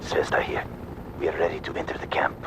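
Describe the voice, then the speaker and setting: An actor playing a terse Soviet squad soldier making flat military radio reports. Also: male voice, quick pace, natural voice. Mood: terse, focused. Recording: very loud aircraft and vehicle noise.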